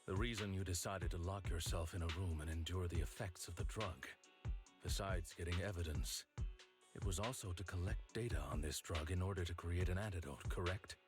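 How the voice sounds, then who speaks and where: An actor playing a serious, composed man in a video game, with a formal, measured and earnest delivery. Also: low-pitched, lightly acted. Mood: earnest, probing. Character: serious, composed man, probing old friend of a rebel. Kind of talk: dramatic video game voice acting. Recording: music behind the voice.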